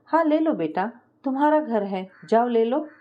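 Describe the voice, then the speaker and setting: A woman's voice: a little high, animated, normal speed, dull audio, lightly acted. A woman storyteller voicing a patient, peace-loving middle-aged mother-in-law, indulgent and a little weary.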